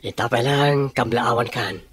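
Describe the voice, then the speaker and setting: A man's voice: mid-range voice, slow, fairly bright tone, breathy edge, full character voice. A man playing a devoted young boyfriend, romantic and earnest, in an Ilocano radio drama. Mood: affectionate.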